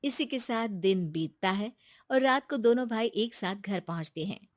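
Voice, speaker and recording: A woman narrating an animated moral story in a calm, even storytelling manner. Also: mid-range voice, moderate pace, no echo, studio quality.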